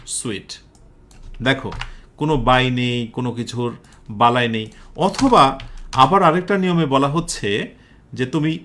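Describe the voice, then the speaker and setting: Animated Bangladeshi male teacher. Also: medium pitch, slight background noise. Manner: didactic, often puts questions to students and checks understanding. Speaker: English grammar teacher. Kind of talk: live exam-prep lesson, explaining step by step.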